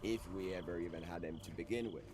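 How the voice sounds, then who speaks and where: A man's voice: sits low, fast, noisy background, close to an everyday voice. A man playing a weary, disillusioned faction fighter in a video game. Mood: bitter, resigned. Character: disillusioned, weary male freedom faction stalker.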